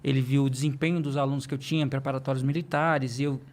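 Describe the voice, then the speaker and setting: A man who works as a teacher, a guest on a podcast, telling anecdotes in a brisk way with gentle self-deprecating humor. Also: medium pitch, little pitch movement. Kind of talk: casual podcast conversation.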